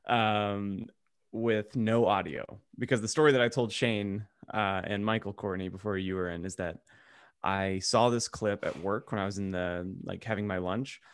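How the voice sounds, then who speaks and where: A man's voice: on the low side, steady tone, moderate pace, smooth. A man co-hosting a podcast, chatting casually in an informal, rambling way. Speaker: podcast co-host. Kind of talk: casual chat.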